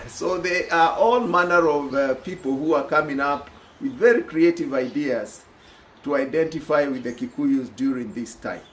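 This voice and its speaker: A middle-aged Kenyan man with a Kenyan accent giving thoughtful personal commentary, pausing often with "uh". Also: a little high, fairly bright tone, a little hiss or hum. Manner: thoughtful, reflective, many pauses with "uh".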